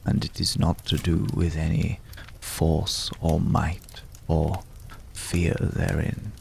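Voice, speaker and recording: A man acting a mysterious, courteous stranger in an ASMR roleplay, speaking in a hushed, measured way with lingering pauses and a deep, gravelly voice. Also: level tone, slight background noise, exaggerated voice. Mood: grave warning. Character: mysterious, courteous male stranger visiting a hunter's night camp. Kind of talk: ASMR roleplay, slow dramatic monologue.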